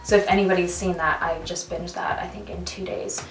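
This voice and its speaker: A young Canadian woman with a lowish voice chatting on camera, animated and quick to laugh. She sits back from the mic in a roomy space, with faint music playing behind her. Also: distant mic. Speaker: vlogger. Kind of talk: casual chat.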